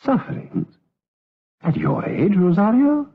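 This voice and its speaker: A stern abbot in gothic radio drama. His voice is very husky and swings dramatically in pitch as he declaims with righteous gravity. The recording is tinny and band-limited. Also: wildly swooping pitch, takes it slowly, exaggerated voice. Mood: solemn, concerned. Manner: grave, theatrical, declamatory.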